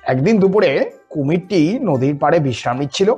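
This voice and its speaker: A man tells a children's fable as a scripted narrator. His delivery is very expressive and his tone husky, with music playing underneath. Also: on the high side, normal speed. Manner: animated. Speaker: storyteller narrator.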